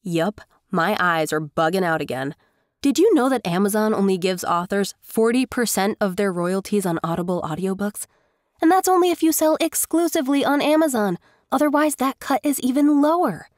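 A woman's voice: mid-range voice, lively intonation, moderate pace, no room sound, studio quality. A woman narrating a scripted tutorial in a conversational, enthusiastic way as its host. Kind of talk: scripted tutorial narration over screen recording.

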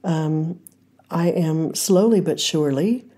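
An older woman hosting a devotional podcast, speaking in a hoarse voice, gentle and earnest, with frequent pauses as she teaches and prays. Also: deep voice. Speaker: podcast host. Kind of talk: devotional talk and prayer.

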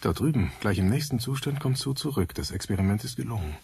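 An actor playing a brooding, philosophical narrator in a radio drama, speaking in an emphatic, deliberate, questioning way. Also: male voice, lowish voice, expressive, vocal fry, a little mellow, breathy edge, exaggerated voice. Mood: solemn, intense.

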